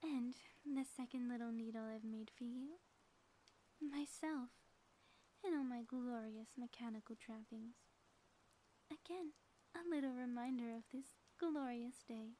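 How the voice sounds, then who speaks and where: A high, mellow-voiced female supervillain played by a woman in an ASMR audio drama, sly and grandly theatrical. She taunts in soft, pompous, flowery phrases that often sink toward a whisper, with faint noise behind her. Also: a little slow, natural voice, low-quality recording. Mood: gloating, menacing. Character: gloating female supervillain inventor, sly, theatrical, secretly smitten.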